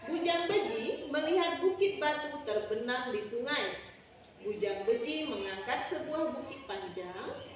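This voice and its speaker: Lady telling kids a story, high voice, asks lots of questions, echoey noisy room. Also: a little slow, distant mic. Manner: expressive, prompting listeners with questions. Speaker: children's storyteller. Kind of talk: interactive storytelling to an audience.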